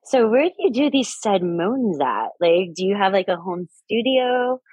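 A woman's voice: mid-range voice, sing-song, a little mellow, slightly rough, no echo. A woman in her forties, a sex educator, talking enthusiastically in a casual interview and laughing easily. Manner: enthusiastic, upbeat, quick to laugh.

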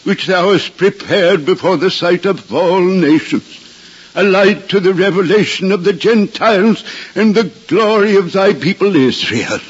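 A husky, aged holy man declaims with solemn fervor and great slowness. It is 1950s radio drama acting, heard on a dull old recording with faint music behind. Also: high-pitched. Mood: reverent, joyful. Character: aged devout male prophet, solemn and fervent.

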